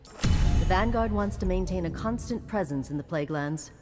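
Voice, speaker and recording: An actress playing a stern, wise female commander in a video game, measured, watchful and authoritative. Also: lowish voice, lively intonation, big-room sound, music in the background, close to an everyday voice. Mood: stern, commanding. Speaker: mentor and commander. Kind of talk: cinematic video game acting.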